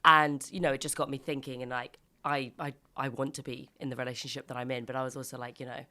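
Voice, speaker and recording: A woman in her twenties with a croaky voice, chatting and telling stories on a podcast. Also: extremely low pitch, flat delivery, fast, bright, forceful tone. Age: 20s. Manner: chatty storyteller, laughs a lot, acts out quotes. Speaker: podcast co-host. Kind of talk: casual chat.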